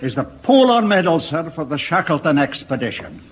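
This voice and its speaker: An actor playing a dour old soldier with a slow, brooding delivery, in a radio sitcom. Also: male voice, on the high side, very animated, very noisy. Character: dour old male soldier, doom-laden storyteller.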